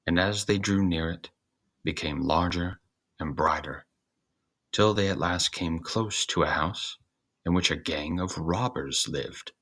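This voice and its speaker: A man's voice: low voice, measured pace, fairly bright tone. A man reading a fairy tale aloud as scripted narration. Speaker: storyteller, reader.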